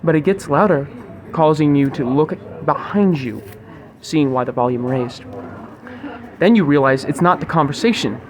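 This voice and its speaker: A man's voice: a little high, moderate pace. A young man hosting a podcast in a mock-formal, grandiose, wordy scripted style inside a busy restaurant. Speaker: podcast host. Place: recorded inside a sushi restaurant. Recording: busy restaurant chatter around the voice.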